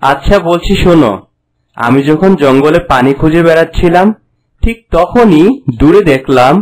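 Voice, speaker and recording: A man's voice: on the high side, expressive, moderate pace, a little mellow, natural voice. Man playing a resolute young fairy-tale hero. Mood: caring, reassuring. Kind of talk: cartoon fairy-tale dialogue. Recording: music behind the voice.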